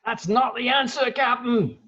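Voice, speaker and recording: A man's voice: very high voice, steady tone, unhurried, bright, forceful tone, highs cut off, exaggerated voice. A man acting a fawning, soothing pirate sidekick who coaxes and placates in a theatrical script table read. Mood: pleading, soothing. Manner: coaxing, placating.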